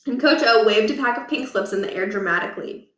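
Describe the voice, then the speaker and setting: A woman narrating a children's book aloud, with expressive storytelling and deliberate emphasis. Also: medium pitch. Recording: roomy echo, distant from the mic.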